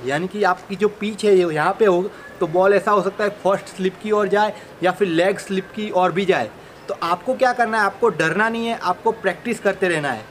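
A man's voice: sits high, a bit bright. A man, explaining technique step by step to camera as a sports coach, demonstrating as he talks. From India. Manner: demonstrating with gestures while talking, addressing viewers directly. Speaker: cricket bowling coach and video host. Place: open rooftop terrace outdoors in a city. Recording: outdoor ambient noise.

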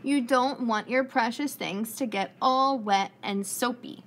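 Woman as a gentle, unhurried storybook mother. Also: a little high, slight background noise, lightly acted. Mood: advising. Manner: gentle, instructive. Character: caring, practical mother advising her young daughter. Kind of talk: children's storybook read-aloud.

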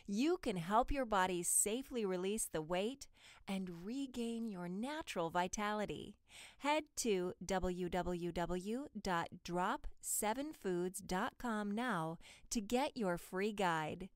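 Smooth, unhurried woman voice-over. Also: mid-range voice, expressive, no room sound, studio quality. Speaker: voice-over artist. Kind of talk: scripted promotional voice-over for health and diet ads.

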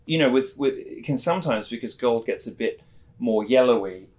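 A man's voice: mid-range voice, moderate pace. A chatty man hosts a shopping show. He talks in bursts and restarts his sentences often. His voice sits in an ordinary middle range and is recorded clean on a lapel mic in a studio. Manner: chatty, lively, many restarts. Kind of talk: casual product chat. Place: studio set with bookshelves.

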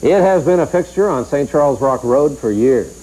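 Lively-pitched, mellow American male news anchor. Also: normal speed, poor audio. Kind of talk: scripted news narration. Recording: hissy 1990s VHS TV recording.

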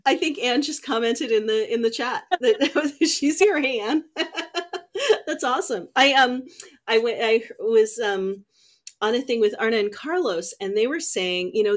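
A woman's voice: a little high, expressive, a little slow. A woman in her thirties chatting casually on a video call as a knitting show host, thoughtful and often rephrasing as she goes. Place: small indoor room at home. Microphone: computer mic on a video call.

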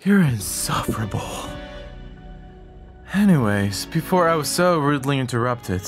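Man voicing an exasperated king of hell, whiny, slow and lively in pitch, faint music. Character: exasperated male king of hell, short-tempered. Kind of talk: fan comic dub.

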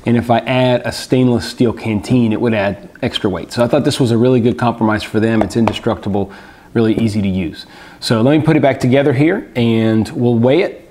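Man reviewing camping gear. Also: mid-range voice. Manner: relaxed, explanatory, frequent fillers. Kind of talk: casual gear review vlog. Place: small indoor room at a table.

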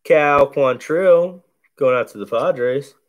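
A man with a low, bass-heavy voice talks at an easy, unhurried pace as he opens packs. He names each card in a laid-back, conversational play-by-play. The sound comes from a camera over a table indoors. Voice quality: deep, bassy voice. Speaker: card break host. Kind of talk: casual card-opening commentary. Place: indoors at a table covered in cards.

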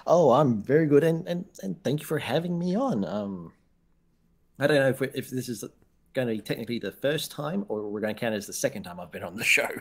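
A man's voice: medium pitch, expressive, brisk, gravelly. An Australian man in his thirties, talking as a guest author over a headset video call, self-deprecating, laughing often and repeating words as he starts. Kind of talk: casual video-call interview.

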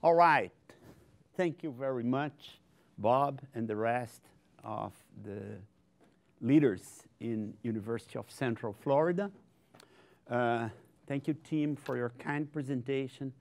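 An older Brazilian man with a Portuguese accent gives an animated lecture in a large auditorium, often tagging sentences with "okay?". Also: medium pitch, slow pace, creaky. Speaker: guest speaker, scientist. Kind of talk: conference lecture to an audience.

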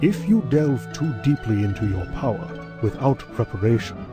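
A man voicing a wise, patient old mammoth mentor with a solemn, measured delivery in an animated comic dub. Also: mid-range voice, lightly acted. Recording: music behind the voice.

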